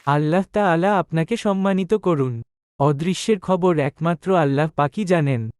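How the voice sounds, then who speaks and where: Man playing a shrewd village doctor, smooth clear voice, dry recording, formal, deferential, measured, cartoon fable. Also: fairly high pitch, darkish tone, no echo, lightly acted. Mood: humble, explanatory. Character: shrewd, courteous male village physician and astrologer. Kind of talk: animated moral tale dialogue.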